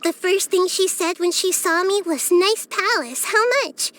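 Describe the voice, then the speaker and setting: A small child-like goddess from a fantasy video game, her voice high and girlish. She speaks gently and thoughtfully, like someone far wiser than she sounds. Her phrasing is measured and earnest, with a steady curiosity about people and the world. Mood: earnest, wistful. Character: small wise young girl deity, gentle and curious. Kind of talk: scripted video game character voice lines.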